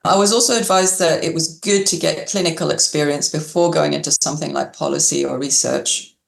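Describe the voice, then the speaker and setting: A woman's voice: low-pitched, steady tone, a little fast, vocal fry. A woman doctor in public health, a podcast guest, answering questions in a thoughtful way with small laughs. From Algeria and Yorkshire. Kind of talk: interview answers. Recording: echoey room.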